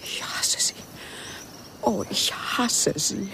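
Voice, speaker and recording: A lovesick woman caught in a doomed affair. Her voice is low and breathy, intimate and halting with intensity. It is radio drama, set against distinct noisy insect ambience. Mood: bitter.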